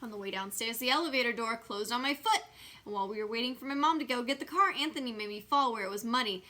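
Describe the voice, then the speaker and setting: Woman, bright forceful voice, fairly high, expressive storybook reading, theatrical emphasis. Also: quick pace. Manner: theatrical, with dragged-out emphasis and dramatic swings. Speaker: storybook reader.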